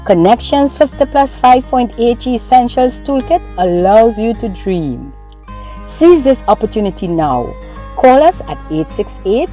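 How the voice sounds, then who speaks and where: A woman's voice: mid-range voice, mellow. A woman reading a scripted promotional voice-over for an advert. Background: music.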